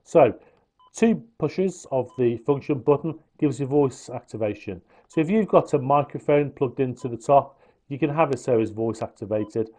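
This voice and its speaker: A man's voice: medium pitch, lively intonation, moderate pace, warm tone. A man explaining how a gadget works step by step, in an informal hands-on review style. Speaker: product reviewer.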